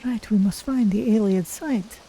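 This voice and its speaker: A woman voicing a curious, cautious female cat-folk adventurer in a game, musing aloud in measured, formal phrasing. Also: mid-range voice, background noise, close to an everyday voice. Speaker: streamer voicing a game character. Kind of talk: in-character game playthrough narration.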